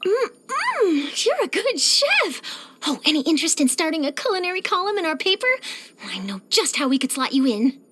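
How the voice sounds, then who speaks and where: A young woman voicing an eager, curious, cheerful young reporter in a video game, with a chatty, expressive delivery. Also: extremely high pitch, moderate pace, full character voice. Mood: chatty, playful. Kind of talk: scripted video game character voice lines.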